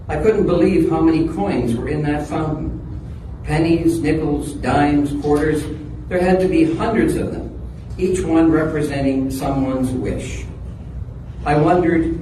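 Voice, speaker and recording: A man's voice: mid-range voice, lightly acted. A man performing a dramatic reading as a reflective, wondering person musing on wishes, recorded from afar in a noisy, echoing hall. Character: reflective birthday celebrant pondering wishes and others' troubles. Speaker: dramatic monologue reader. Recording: echoey hall from far off, noisy.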